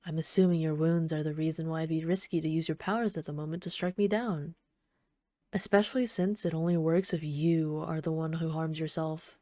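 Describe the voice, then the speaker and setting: A woman playing an obsessive, sweetly menacing supervillain in an ASMR roleplay, soft-spoken, doting, possessive and taunting. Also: low-pitched, fairly even intonation, brisk, no echo, natural voice. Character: obsessive yandere female supervillain, sweetly menacing, adoring her captive.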